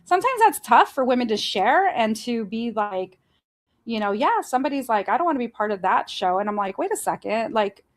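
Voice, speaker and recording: A woman hosting a candid conversation, chatty and animated, quick to jump in and laugh. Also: fairly high pitch. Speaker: host.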